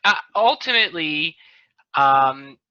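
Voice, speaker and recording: A man's voice: a little high, animated, slow, clean tone, no room sound. A man hosting a casual comedic panel chat with a wry, mock-boastful manner. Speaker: podcast host; lawyer. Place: remote video call. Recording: dull video-call audio.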